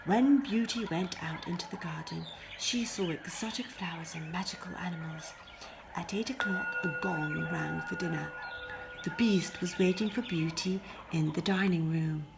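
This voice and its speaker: A woman narrating a scripted fairy tale as an expressive storyteller, often hushing her phrases. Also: on the low side, moderate pace, gravelly. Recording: faint background noise.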